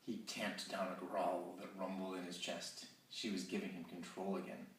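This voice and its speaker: Deep-voiced man reading romance aloud. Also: level tone, moderate pace. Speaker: narrator reading an excerpt. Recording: roomy, distant, faint hiss.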